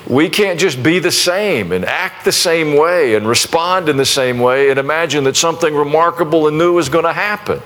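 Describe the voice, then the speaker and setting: Folksy pastor with restarts. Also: male voice, a little high, lively intonation, normal speed, hardly any pauses, a bit bright. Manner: conversational, folksy, self-deprecating with many restarts. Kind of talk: sermon and prayer to a congregation.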